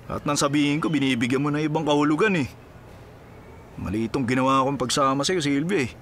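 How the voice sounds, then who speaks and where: Man acting bright, exasperated, huffy policeman. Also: fairly high pitch, quick pace, a little hiss or hum, natural voice. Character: exasperated, impatient policeman caught up with his mistress. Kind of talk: Filipino radio-style drama acting.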